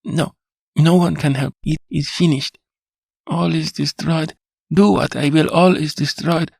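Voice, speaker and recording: A man's voice: a little high, steady tone, normal speed, gravelly, husky, dry recording, pitched above the performer's own voice. A man voicing a delirious, anguished young wife, speaking with emphatic repetition. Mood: despairing. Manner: anguished, emphatic, repeating herself.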